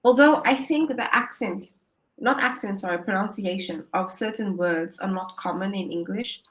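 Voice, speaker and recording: A woman's voice: mid-range voice, moderate pace. A woman tutoring English over a video call, upbeat and encouraging, often laughing as she explains. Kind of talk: casual conversation lesson.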